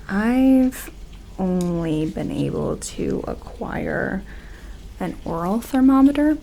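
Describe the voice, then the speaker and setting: A woman acts out a gentle medic in a sci-fi ASMR roleplay, speaking softly and informally right at the mic. The delivery is slow and hesitant, with plenty of vocal fry. Faint background ambience sits under the voice. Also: medium pitch, a little hiss or hum. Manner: hushed, hesitant, reassuring, informal. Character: gentle, respectful female sci-fi colony medic examining an alien. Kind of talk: soft-spoken ASMR roleplay.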